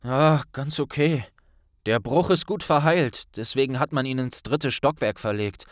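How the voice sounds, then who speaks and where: A man's voice: mid-range voice, a little slow, no echo, clean studio sound, pitched above the performer's own voice, natural voice. A man playing a blunt, loyal young male wizard friend with a colloquial way of talking in an audiobook. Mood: casual. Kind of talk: audiobook character voice.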